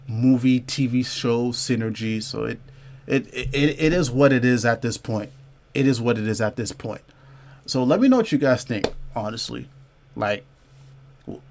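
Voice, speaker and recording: A man's voice: mid-range voice, a little hiss or hum. A man hosting a casual comics livestream, talking loosely to his chat, reading out viewer comments and repeating phrases to drive a point home. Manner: says y'all and man, asks viewers to comment below. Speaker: comic book livestream host.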